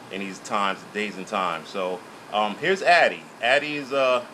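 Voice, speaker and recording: Man hosting an interview, very noisy recording. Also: mid-range voice. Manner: halting, repeats words and phrases. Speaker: interviewer and host of a local business show. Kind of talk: informal on-camera local interview.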